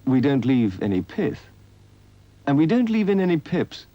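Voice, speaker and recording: A man's voice: a little high, very animated, moderate pace, no room sound. A man reading an advert voice-over in a precise, understated manner. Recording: dull, dry studio recording.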